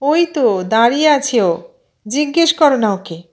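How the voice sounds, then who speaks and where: A woman's voice: high-pitched, expressive, a little slow, a little mellow, voice pushed up. A woman voicing an older housewife in an audio story, a boastful, complaining aunt who scolds and belittles her husband. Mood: indignant, taunting. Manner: complaining, self-important, emphatic scolding.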